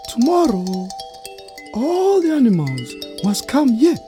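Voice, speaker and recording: A woman's voice: fairly high pitch, big pitch swings, slow, dark tone, soft background music. A woman with a South African accent playing a stately, commanding creator spirit in children's audio theatre. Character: stately female creator spirit giving orders.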